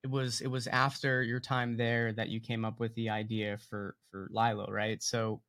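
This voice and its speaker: A man's voice: fairly low pitch, little pitch movement, normal speed, a bit bright, dry recording, studio quality. A man hosting a podcast interview, friendly with hesitant restarts. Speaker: podcast host.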